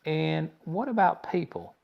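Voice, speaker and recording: A man reading aloud and voicing a loud, cocky boy obsessed with television. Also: fairly high pitch, unhurried, creaky, pitched above the performer's own voice. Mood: brash. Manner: shouty, cocky. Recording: dull lo-fi recording.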